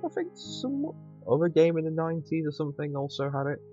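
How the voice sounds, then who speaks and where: A man's voice: on the high side, very animated, fairly quick, dull audio, over music. A man hosting a game playthrough, commenting casually with wry, conversational asides. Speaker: host of a gaming channel.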